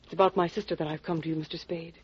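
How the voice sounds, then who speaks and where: Anxious genteel widow, breathy voice, earnest dramatic delivery, old radio drama, dull lo-fi sound. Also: female voice, deep voice, little pitch movement, very fast. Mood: worried, pleading. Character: anxious, genteel widowed female client pleading for help. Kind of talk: 1940s radio detective acting. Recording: muffled old radio broadcast recording.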